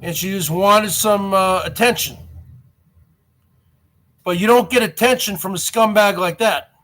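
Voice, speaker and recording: High-voiced man with a stutter, aggrieved. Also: unhurried, a bit bright. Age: 40s. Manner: aggrieved, repeats himself. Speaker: live stream host. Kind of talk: live stream talk to viewers.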